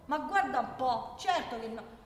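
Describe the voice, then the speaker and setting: A woman's voice: high voice, animated, unhurried. An actress playing a feisty working-class woman with a Roman accent, arguing colloquially and emphatically in a theatrical radio monologue. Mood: exasperated, insistent. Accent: Roman Italian. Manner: colloquial, argumentative, emphatic, with rhetorical questions. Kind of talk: theatrical radio monologue, one side of a conversation. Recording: echoey reverberant hall, faint background noise.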